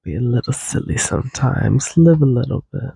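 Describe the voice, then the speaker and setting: A man's voice: medium pitch, expressive, moderate pace, creaky, warm tone, breathy edge, no room sound, low-quality recording. A young man hosting a solo podcast, rambling casually and a little congested, drifting off on tangents and humming tunes. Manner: casual, tangent-prone, hums and sings tunes. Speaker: podcast host.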